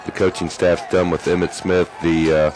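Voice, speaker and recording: Low-voiced football color man, husky. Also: level tone, normal speed, music in the background, crowd noise. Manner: chatty, filler-heavy explaining. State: losing his voice. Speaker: color commentator. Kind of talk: analytical sports color commentary.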